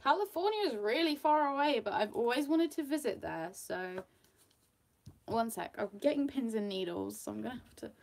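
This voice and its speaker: A woman's voice: on the high side, expressive, normal speed, gravelly. Giggly young British woman chatting. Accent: British, with a slight Australian tinge. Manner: chatty, giggly, rambling with fillers. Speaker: makeup vlogger. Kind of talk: casual get-ready-with-me chat.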